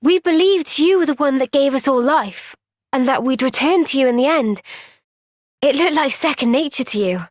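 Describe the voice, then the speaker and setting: A woman with a British accent voicing an earnest, gentle, thoughtful young woman soldier in a video game cutscene. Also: high voice, expressive, moderate pace, no echo, clean studio sound. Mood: earnest, pleading.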